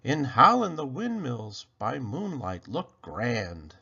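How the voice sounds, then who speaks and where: A man reading a rhyming picture book aloud to children in a theatrical, deliberate way. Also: mid-range voice, sing-song, vocal fry, bright, forceful tone, breathy edge, lo-fi sound. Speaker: children's storybook reader.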